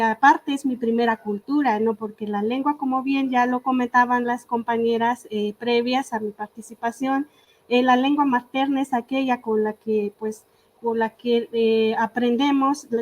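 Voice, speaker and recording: A woman's voice: a little high, fairly even intonation, normal speed. A woman whose first language is Purépecha, from Michoacán in Mexico, giving an earnest talk on an online panel with many hesitations and fillers. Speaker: invited speaker.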